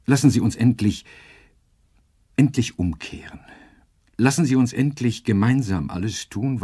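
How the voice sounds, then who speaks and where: An actor playing a grave, indignant professor giving a solemn, rhetorical commentary in a radio drama. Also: male voice, lowish voice, dark tone, husky, exaggerated voice. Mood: urgent, pleading.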